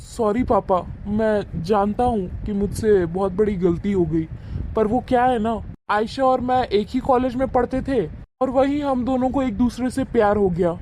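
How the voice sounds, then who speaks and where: A man voicing a devoted adult son, earnest and protective of his family, in an animated moral story. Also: high voice, normal speed, a little hiss or hum, natural voice, poor audio. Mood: apologetic.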